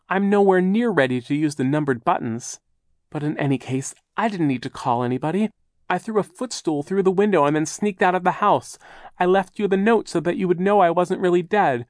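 A woman's voice: darkish tone, dry recording, studio quality, lightly acted. A man voicing a fussy, fearful older woman guardian who frets and corrects grammar. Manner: high, fretful, scolding. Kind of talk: audiobook character voice.

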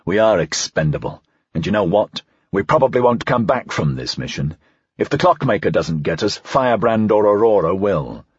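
A stern, weary male police veteran, performed by a male audiobook narrator, dry and formal. The voice is low and husky, clipped in manner and sardonic underneath. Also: sing-song, normal speed, no echo, muffled recording, heavily acted. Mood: grimly resolved. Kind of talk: audiobook character dialogue.

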